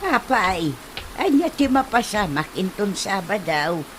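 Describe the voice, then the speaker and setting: A woman's voice: medium pitch, big pitch swings, measured pace, a little hiss or hum. A woman playing a chatty, pushy grandmother neighbour in an Ilocano comedy drama, with an Ilocano accent. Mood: surprised, pleased.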